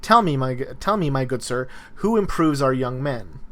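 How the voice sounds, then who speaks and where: A man's voice: medium pitch, expressive, normal speed, fairly bright tone, lightly acted. Guy reading as an indignant philosopher in court, firing pointed questions at his accuser, dramatic dialogue reading. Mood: pointed, questioning.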